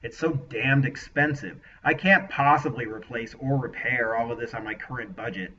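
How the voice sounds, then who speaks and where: A petulant, cowardly knight grumbling with sarcasm, as a man voices him in a story reading. The voice is bright and forceful. The sound is dull and lo-fi, from a little off-mic. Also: mid-range voice, normal speed, distant mic, close to an everyday voice.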